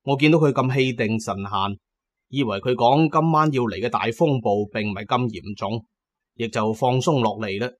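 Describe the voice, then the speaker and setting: A man's voice: medium pitch, moderate pace. A man narrating a first-person adventure story in a dramatic style.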